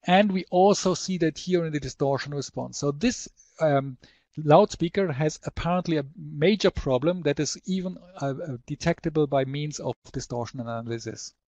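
Higher-voiced man presenting a webinar. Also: normal speed, a little mellow, no room sound. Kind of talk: product demonstration and slide presentation.

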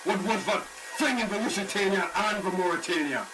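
A man's voice: sits high, brisk, over loud music, lightly acted, low-quality recording. A man playing an anguished young ship survivor held captive, pleading and agitated in an analog horror film. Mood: desperate.

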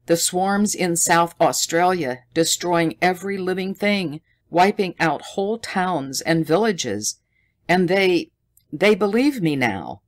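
A reader voicing an anxious, excited young man who talks in a raised, tense voice in an audiobook. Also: medium pitch, slow pace, no room sound, studio quality, pitched above the performer's own voice.

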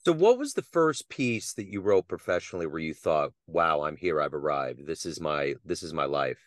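A man hosting an interview, asking slow, open-ended questions. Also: rumbling low, sing-song, no echo, clean studio sound. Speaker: interview host.

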